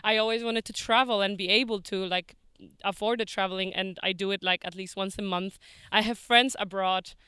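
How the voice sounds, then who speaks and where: Young woman, bright crisp voice, chatty n laughy, lots of likes. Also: mid-range voice, steady tone, brisk, no room sound, clean studio sound. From Poland. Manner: chatty, laughs often, many fillers. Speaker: street interviewee. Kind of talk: casual street interview.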